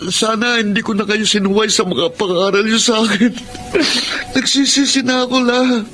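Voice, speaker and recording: Actor as young man, pleading, high voice over loud music. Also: fairly even intonation, moderate pace. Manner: desperate, pleading. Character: young man, frightened then remorseful grandson. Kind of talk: Filipino radio drama acting.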